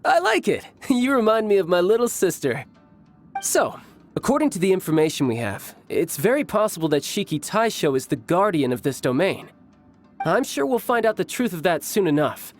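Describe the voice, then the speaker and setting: Cocky smooth young fighter, anime game style, teasing, really lively pitch, faint music. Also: male voice, moderate pace. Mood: curious, genial. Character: suave playful young male warrior, cocky and teasing.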